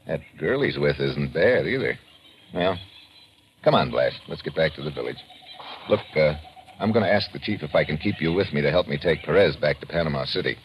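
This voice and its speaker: Breezy investigator hero, deep voice, American accent, slangy, casual, golden-age radio adventure, noisy old recording. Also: male voice, lively intonation, a little fast, dull audio. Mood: matter-of-fact. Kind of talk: old-time radio adventure drama acting.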